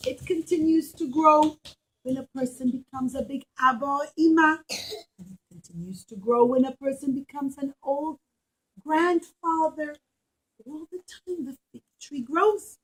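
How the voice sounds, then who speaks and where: A woman with a high voice tells a story to small children. She takes it slowly, repeating simple phrases like a teacher so every idea lands. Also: rough recording. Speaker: puppet show storyteller for children.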